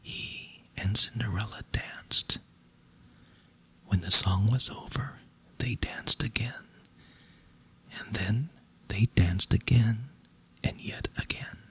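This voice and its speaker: A storyteller narrating a bedtime fairy tale in a breathy, voiceless whisper, slowly and deliberately with pauses. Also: mid-range voice, bright, forceful tone. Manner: slow, deliberate, with long pauses.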